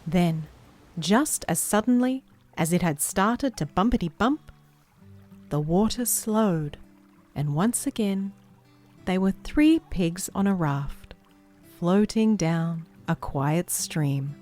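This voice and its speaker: A woman narrates a children's read-aloud with music playing behind her. Her pitch moves up and down in an animated way, while her pace stays unhurried and expressive. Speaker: children's story reader and book club host.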